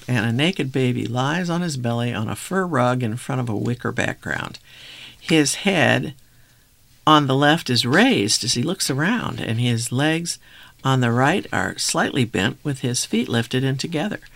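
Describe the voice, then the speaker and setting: A man reading a first-person memoir aloud, then reading out descriptions of old photographs in a steady, measured way. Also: medium pitch, vocal fry. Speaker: reader.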